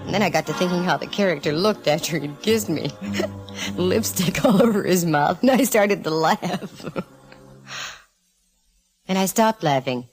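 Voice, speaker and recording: A gutsy female private eye from a 1940s radio mystery, with a notably low voice. She is breezy and sardonic, tossing off hard-boiled wisecracks. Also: lively intonation, a little slow, long pauses, darkish tone, soft background music. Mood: wry, storytelling. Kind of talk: 1940s radio acting with first-person narration.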